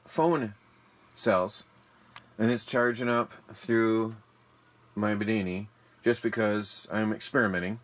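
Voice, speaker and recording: A man with a fairly low voice talks his way around a yard and workshop, plain-spoken and rambling. He sounds worn out from the day's work, picked up by the camera's own mic. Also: fairly bright tone. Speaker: homestead DIY vlogger. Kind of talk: casual walk-through narration. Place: outdoors in a yard, then a workshop.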